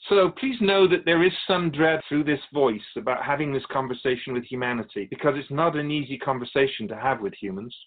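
Man as measured, solemn keeper of souls. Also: medium pitch, phone-quality sound, natural voice. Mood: solemn, explanatory. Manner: measured, didactic, formal. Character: calm otherworldly male soul keeper, earnest storytelling spirit. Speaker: interviewee. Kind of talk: radio drama dialogue.

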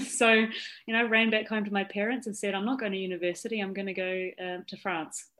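A woman's voice: mid-range voice, flat delivery, normal speed, fairly bright tone. A woman in her thirties with a New Zealand accent, chatting as an interview guest, upbeat and laughing often. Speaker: guest, yacht officer and founder. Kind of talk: casual interview chat.

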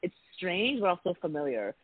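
A man's voice: high voice, very animated, brisk, husky, no echo. A man from Taiwan, a guest in a radio interview, talking thoughtfully in a rambling, casual way. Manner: thoughtful, rambling, with soft laughs. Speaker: guest artist. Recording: dry, phone-like line.

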